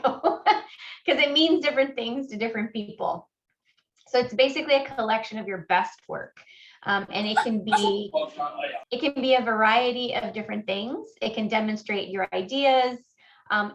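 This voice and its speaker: A woman's voice: fairly high pitch, a bit bright. A woman in her forties from Honduras, giving a friendly, conversational webinar presentation with frequent fillers as an admissions guest speaker. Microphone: video call. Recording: muffled video call audio.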